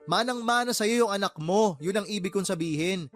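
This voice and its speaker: A man's voice: sits high, a little fast, soft background music, natural voice. A man playing a fed-up, exasperated uncle and boss in a Tagalog radio drama, scolding his sister with heated, sarcastic questions. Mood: angry, fed up.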